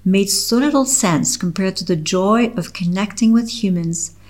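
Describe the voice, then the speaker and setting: A middle-aged woman with a French accent, a French native speaker from Switzerland, reading her own personal essay aloud in a slow, measured, earnest way. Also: mid-range voice, a little mellow. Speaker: author reading her article.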